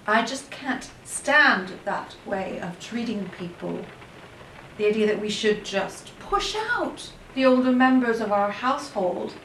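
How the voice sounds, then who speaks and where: A woman's voice: on the high side, expressive, a little slow, a bit bright. An actress playing a sensitive, principled woman in an audio play, speaking with earnest emotion. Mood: upset, indignant. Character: sensitive, principled woman protective of her elders. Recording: echoey, distant, faint noise.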